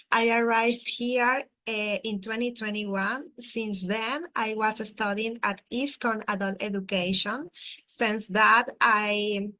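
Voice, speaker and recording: Halting woman. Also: mid-range voice, fairly bright tone, rough recording. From Venezuela. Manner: careful, halting with many "uh" fillers. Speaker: adult learner presenter. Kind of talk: prepared self-introduction.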